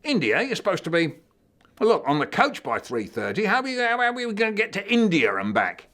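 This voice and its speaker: A man voicing a blustering, exasperated schoolteacher playing a judge, shouting for order in a storybook reading. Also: high-pitched, wildly swooping pitch, a little fast, pitched above the performer's own voice, full character voice.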